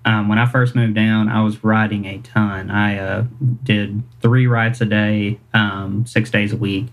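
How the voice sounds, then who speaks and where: Singer-songwriter guy, kinda low voice, rambly and self-deprecating, chuckles a lot. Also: flat delivery, unhurried, poor audio. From Kentucky, US. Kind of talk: casual interview chat.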